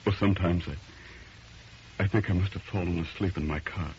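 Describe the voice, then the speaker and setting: A deep-voiced, shaken husband played by a man speaks gravely and haltingly, as in 1930s radio melodrama. The recording is dull and slightly noisy. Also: fairly even intonation, a little mellow, close to an everyday voice. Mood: shaken, haunted. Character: shaken, haunted husband recounting a night of horror. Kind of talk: 1930s radio drama acting.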